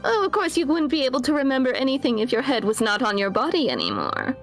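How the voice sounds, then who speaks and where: A woman's voice: high voice, very animated, fast, faint music behind. A woman playing a haughty, ruthless, fed-up spellcaster in a tabletop game, theatrical, sardonic and defiant. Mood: taunting.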